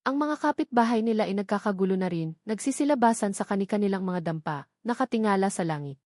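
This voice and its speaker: A woman with a Filipino accent reading scripted romantic drama narration in a measured, emotive way. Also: medium pitch, expressive, darkish tone, no room sound, clean studio sound. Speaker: audiobook story narrator.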